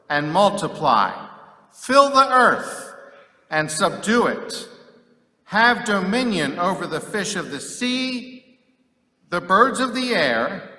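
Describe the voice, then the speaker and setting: A man reading scripture solemnly and dramatically, with deliberate, declamatory phrasing and long pauses. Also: high voice, bright, forceful tone. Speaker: scripture reader. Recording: echoey hall with long reverb.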